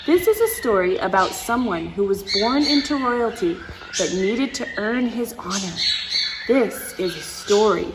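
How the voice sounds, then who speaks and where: A woman's voice: mid-range voice, lively intonation, unhurried, noisy background. A woman reading a picture book aloud to children, with playful asides and dramatic emphasis, speaking straight to her young listeners.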